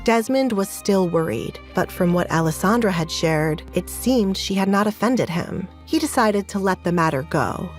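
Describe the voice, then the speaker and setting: A woman's voice: mid-range voice, animated, moderate pace, hardly any pauses, faint music behind. A woman reading scripted, dramatic story narration as the narrator of an audio fiction series.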